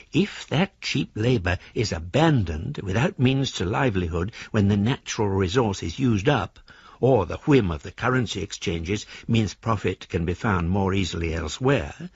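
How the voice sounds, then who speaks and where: Philosophical British fugitive, low gravelly voice, ornate formal delivery, ruminative, radio drama, dry dull recording. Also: male voice, dry recording, full character voice. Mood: earnest, moralising. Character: philosophical fugitive embezzler, mannered, self-justifying gentleman letter-writer. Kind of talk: radio drama monologue of letters read aloud.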